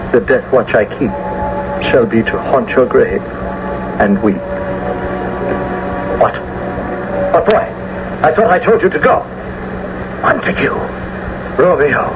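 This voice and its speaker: An actor playing a proud, mournful, vengeful young nobleman in a classical radio drama. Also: mid-range voice. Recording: muffled, noisy old radio broadcast.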